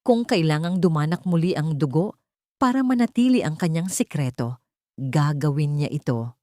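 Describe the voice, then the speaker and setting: A woman's voice: sits low, lively intonation, moderate pace, a little mellow, no room sound, studio quality. A woman reading a scripted romantic drama audiobook as its narrator, in a dramatic storytelling style.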